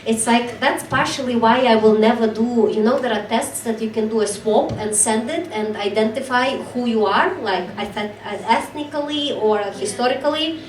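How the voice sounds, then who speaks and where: A woman from Belarus with a Belarusian accent, a podcast host in casual conversation, candid and self-mocking. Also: a little high. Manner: candid, self-mocking, talks in quick bursts. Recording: roomy, distant mic, faint background noise.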